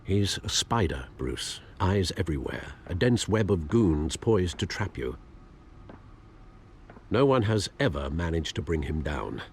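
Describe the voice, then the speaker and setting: An actor playing a grave, cautious male confidant in a video game, measured and warning. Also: low voice, sing-song, slight background noise, full character voice.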